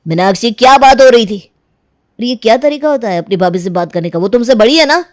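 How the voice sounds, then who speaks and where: A woman playing a stern, disillusioned young husband, firm and reproachful, in an animated moral story. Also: on the high side, brisk, no echo, clean studio sound, natural voice.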